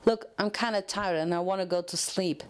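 A woman voicing a weary, gruff orange pony mare in a storybook reading, flat and terse. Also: on the low side, a little fast, close to an everyday voice. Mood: weary, wary.

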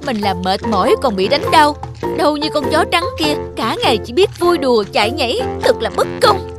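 A weary mother buffalo from a cartoon fable, acted by a woman. Her voice is high and given to grumbling and resentment. Music plays clearly behind her. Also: sing-song, fairly quick. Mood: bitter grumbling. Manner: grumbling, sarcastic. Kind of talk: dubbed cartoon fable acting.

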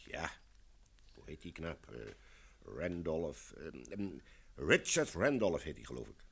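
An actor playing a brash, jovial film director in a Dutch radio play, talking bluntly and informally. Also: male voice, very deep voice, very animated, a little slow, gravelly, breathy edge, full character voice. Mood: chatty, blunt.

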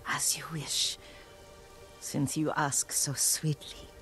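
A wise, devoted old woman in a game cutscene. She speaks slowly and gently, wistful and a little teasing, with breath in the voice and music playing clearly behind her. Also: low voice, level tone. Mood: frail, tender. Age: older. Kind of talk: video game cutscene acting.

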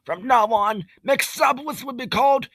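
A man voicing a pompous, scheming cartoon villain king in a theatrical, shouting manner with drawn-out words. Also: extremely high pitch, dry recording, full character voice. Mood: gloating, pompous. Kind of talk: cartoon fan dub villain acting. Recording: music behind the voice.

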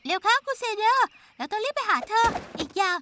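A woman voicing an impatient, eager little filly pony with a blunt, excitable delivery in a children's cartoon dub. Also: very high-pitched, normal speed, noisy background. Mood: impatient, grumbling. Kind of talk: dubbed children's cartoon acting. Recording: music behind the voice.

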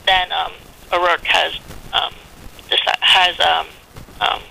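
A woman working as a congressional office staffer, answering a phone politely in a halting, formal manner. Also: on the low side, level tone, creaky, pushed and bright. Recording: telephone line audio.

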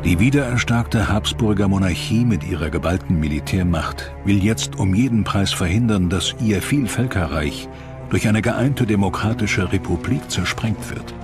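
A man narrating a history documentary from a script, in a grave, measured manner. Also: low voice. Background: music.

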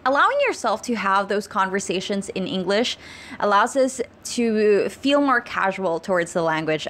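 A woman's voice: mid-range voice, a bit bright. A young woman whose first language is Tagalog, chatting upbeat to camera into a handheld mic, laughing between phrases as she shares English-speaking tips. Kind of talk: casual vlog talk to camera. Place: small indoor room, plain wall. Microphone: handheld mic held close.

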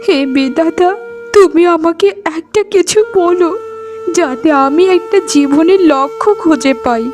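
A woman plays a rejected young wife in a cartoon melodrama. The voice is extremely high, humble and full of lament. Music runs underneath. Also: unhurried. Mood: despairing. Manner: plaintive, lamenting.

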